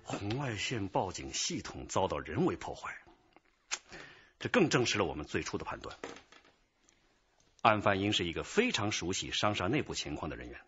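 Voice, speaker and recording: An actor playing a patient, probing male police detective chief in a radio drama, with a husky voice. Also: low voice, big pitch swings, moderate pace, long pauses, a bit bright, lo-fi sound, slight background noise. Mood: analytical.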